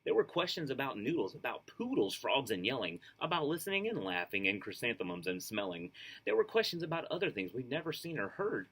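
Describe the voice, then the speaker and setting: A man's voice: medium pitch, brisk, breathy edge. A man reading a children's book aloud expressively, with enthusiastic casual asides. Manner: enthusiastic, animated. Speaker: storybook reader.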